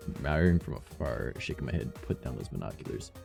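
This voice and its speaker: A man streaming a game, chatting casually and swearing a lot, his voice rough today, swinging between low mumbles and loud bursts. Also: sing-song, moderate pace, music in the background.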